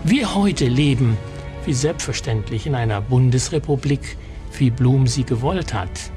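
A man speaks as a history expert in a documentary, explaining in a mid-range voice. Soft music sits faintly behind him. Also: medium pitch, expressive, a little slow.

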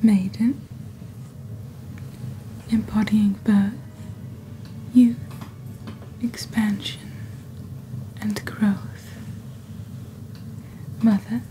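A young woman playing a genteel witch shopping-channel host in soft-spoken ASMR, with a breathy near-whisper and a deliberate, gently formal delivery. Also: mid-range voice, flat delivery, slight background noise, rough recording. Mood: soft, soothing. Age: young adult. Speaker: ASMR performer.

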